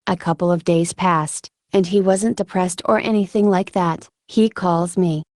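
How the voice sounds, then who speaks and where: A woman giving reflective interview answers in a halting, thoughtful way with frequent filler words. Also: fairly low pitch, fairly even intonation, a little mellow, no room sound, clean studio sound. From Ukraine. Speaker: military combat medic being interviewed.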